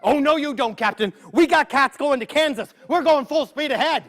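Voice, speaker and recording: A storyteller voicing an eager frontier trader who talks high, urgent and insistent. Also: normal speed, fairly bright tone. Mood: determined. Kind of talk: live storytelling.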